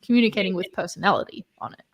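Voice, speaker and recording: Woman chatting on a panel, friendly and agreeable. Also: mid-range voice. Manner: chatty, quick to laugh along. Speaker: guest creator. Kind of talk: casual panel chat.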